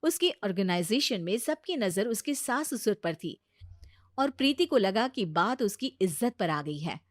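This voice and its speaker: A woman's voice: mid-range voice, lively intonation, measured pace, no room sound, studio quality. A woman reading a scripted story as its narrator.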